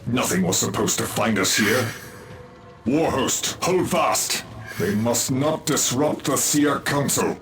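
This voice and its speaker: A man's voice: medium pitch, expressive, takes it slowly. A man playing a proud, solemn alien seer commander in a video game, speaking with grave, formal authority. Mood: grave, urgent. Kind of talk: theatrical video game cutscene acting. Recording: loud music under the voice. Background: loud music.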